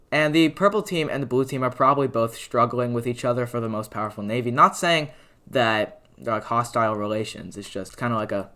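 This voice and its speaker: A man with a raspy, worn voice narrating a map animation in casual, rambling commentary full of fillers and restarts. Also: mid-range voice, a bit bright. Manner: rambling, filler-heavy, lots of "like" and "uh". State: raspy voice from talking a lot. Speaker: mapping video creator.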